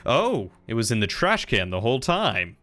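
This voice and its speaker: Guy in his 30s live streaming a game, crisp bright voice, chatty, faint music behind. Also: mid-range voice, very animated, normal speed. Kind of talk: casual chat and commentary while gaming.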